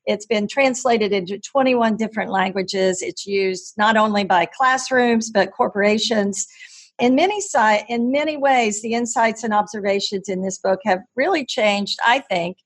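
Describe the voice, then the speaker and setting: A woman's voice: medium pitch, moderate pace. A woman who is a professor and host, interviewing a guest and praising his ideas with enthusiasm. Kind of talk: interview hosting.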